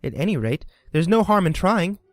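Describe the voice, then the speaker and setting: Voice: mid-range voice, sing-song, moderate pace, no echo, clean studio sound, pitched above the performer's own voice, natural voice. A reader doing a flustered chatty young girl. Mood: musing.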